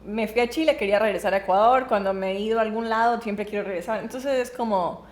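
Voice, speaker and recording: A woman's voice: mid-range voice, quick pace, reverberant. A young woman from Colombia, a podcast guest, talking candidly and casually about her own experience. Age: young adult. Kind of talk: casual personal conversation.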